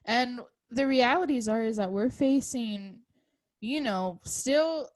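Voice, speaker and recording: A woman's voice: on the high side, slow pace, a little mellow, no echo. A woman co-hosting a podcast, speaking in an earnest, emphatic way. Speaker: podcast co-host. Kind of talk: casual conversation.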